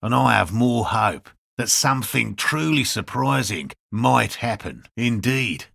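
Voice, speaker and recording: An actor playing an earnest, stubbornly hopeful elderly male cryptozoologist in a video game, speaking with a gravelly rasp and a formal, pedantic manner. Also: medium pitch, expressive, unhurried, fairly bright tone, no echo, clean studio sound, heavily acted. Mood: proud, hopeful. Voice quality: gravelly, raspy. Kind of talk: video game character dialogue.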